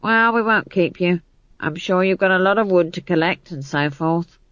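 A woman's voice: on the low side, normal speed, fairly bright tone, no echo, dull audio, close to an everyday voice. A woman voicing a grumpy, sarcastic male dwarf in a storybook, curt, muttering and growling. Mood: grim.

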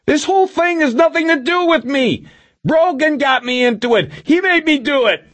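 A man voicing a panicked, cornered villain in an audiobook, screaming frantic high-pitched threats in a West Coast American accent. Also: expressive, normal speed, fairly bright tone, no echo. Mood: pleading, screaming. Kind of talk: audiobook character voice.

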